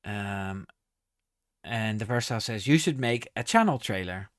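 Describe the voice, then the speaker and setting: A man's voice: on the low side, lively intonation, normal speed, smooth, dry recording, clean studio sound. A man hosting a casual live stream, reading viewer comments aloud and answering with many ums and restarts.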